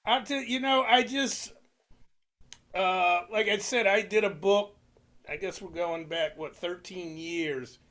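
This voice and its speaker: An older man hosting an interview, eager and rambling, piling up fillers as he works toward his questions. His voice is bright and lifts a little higher than most men's. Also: slow. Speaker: interviewer, host. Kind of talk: casual interview conversation.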